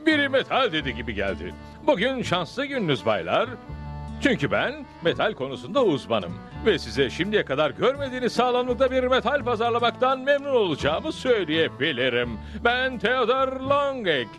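A lively-pitched cartoon peddler pitches his wares. He is slick and boastful, rolling out grand sales patter over faint music. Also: moderate pace. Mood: boastful, pitching. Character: smooth-talking, boastful travelling metal salesman. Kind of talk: Turkish cartoon dub acting.

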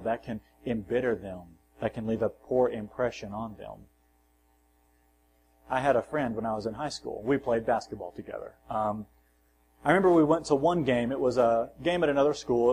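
A man preaching a sermon in a church, measured and plain, swelling on scripture and dropping softly at the ends of phrases, with self-deprecating asides. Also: medium pitch, a little hiss or hum, rough recording. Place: church sanctuary.

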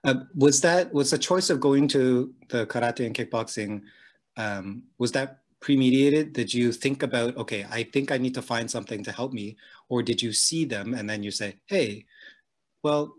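A man's voice: mid-range voice, moderate pace. A man hosting a podcast, asking curious, rambling questions over a headset on a video call from home. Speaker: podcast host. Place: room at home, indoors.

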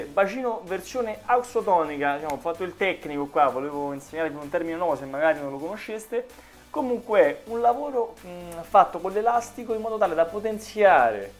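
A man's voice: sits high, darkish tone. A man in his thirties from Italy giving informal, encouraging exercise instruction as a personal trainer. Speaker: personal trainer and fitness channel host. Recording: music behind the voice. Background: background music.

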